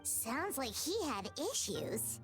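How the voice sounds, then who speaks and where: A woman voicing a small, cheery, excitable childlike fairy companion in a video game, squeaky and exclamatory. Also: slow pace, full character voice. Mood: chatty, surprised. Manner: exclamatory, naive, chirpy. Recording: music behind the voice. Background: game music.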